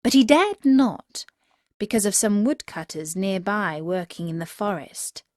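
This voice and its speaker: A woman narrating a children's fairy tale in a measured, expressive storytelling manner. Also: medium pitch, no echo, clean studio sound.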